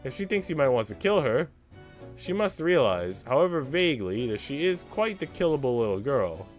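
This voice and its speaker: Man voicing an arch, flirtatious servant woman, animated. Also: mid-range voice, moderate pace, soft background music, close to an everyday voice. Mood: arch, boastful.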